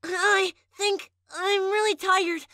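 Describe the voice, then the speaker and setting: A woman voicing an earnest, eager young monster child in a comic dub, with expressive delivery. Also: very high-pitched, slow pace, no echo, studio quality, exaggerated voice. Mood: subdued. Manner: expressive, exclamatory.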